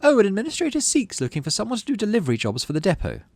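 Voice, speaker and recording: Man putting on a high, bossy posh girl. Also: wildly swooping pitch, racing, a little mellow, dry recording, exaggerated voice. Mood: smug, dismissive. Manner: affected, whiny, haughty. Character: bossy, posh, spoiled girl on a space colony.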